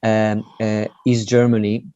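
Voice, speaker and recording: A man from Poland, chatting as a guest in an interview in a relaxed, self-deprecating way with many fillers. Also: fairly low pitch, measured pace, smooth, dry recording. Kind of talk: casual interview chat. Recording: dry, slightly dull sound.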